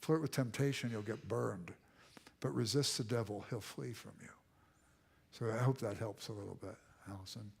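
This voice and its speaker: A man's voice: fairly low pitch, moderate pace, gravelly, darkish tone, breathy edge. Guy speaker at a church, emphatic, repeats lines for rhythm, goes almost whispery sometimes. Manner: emphatic, repetitive cadence, dropping to a near whisper for emphasis. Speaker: guest speaker. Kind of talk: church lecture with audience questions. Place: church auditorium.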